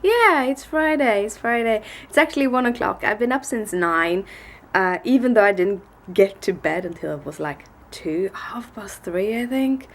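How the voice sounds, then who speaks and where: Gravelly-voiced woman with a heavy cold. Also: lively intonation, moderate pace. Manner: rambling, tired, low-energy talk with restarts. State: congested with a bad cold. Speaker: daily vlogger. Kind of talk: casual vlog chat. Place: living room at home, indoors. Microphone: camera on a desk in front of her.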